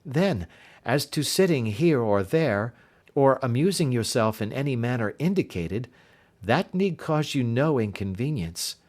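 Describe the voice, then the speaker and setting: A man voicing a brisk, earnest young woman governess in an audiobook reading, in his own everyday voice. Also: mid-range voice, very animated, a little mellow.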